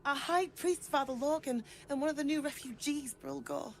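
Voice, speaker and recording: A woman's voice: high voice, moderate pace, creaky, a little hiss or hum. A woman playing an earnest, troubled temple priestess in a game, speaking with insistence. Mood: bitter concern. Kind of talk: dramatic game voice acting.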